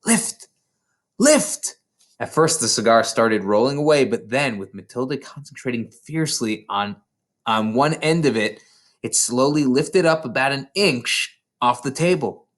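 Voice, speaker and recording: A man reading a children's story aloud in an expressive, dramatic storytelling manner. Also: medium pitch, normal speed. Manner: dramatic, animated storyteller delivery. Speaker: storybook reader.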